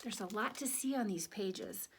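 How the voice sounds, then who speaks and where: A woman reading a children's picture book aloud, with deliberate, expressive phrasing and conversational asides to young listeners. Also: mid-range voice, a little hiss or hum. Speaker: children's story reader.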